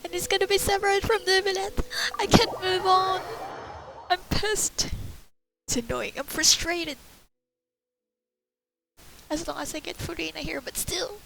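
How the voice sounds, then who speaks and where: A woman's voice: very high-pitched, a little slow, gravelly, pauses often, rough recording. A woman giving casual, informal and reactive commentary while playing a video game. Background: game music.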